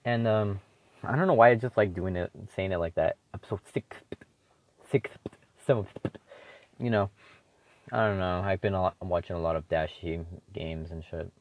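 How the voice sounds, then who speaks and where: A man's voice: deep voice, normal speed, pauses often, no echo. A teenage boy talking alone in a casual, rambling way, swearing often and full of fillers and restarts, as host of his own show. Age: teens. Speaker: solo podcaster.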